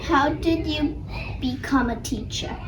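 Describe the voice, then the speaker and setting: A woman's voice: high voice, slow pace. A female interviewer, reading out short scripted questions. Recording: echoey, far from mic, noisy background.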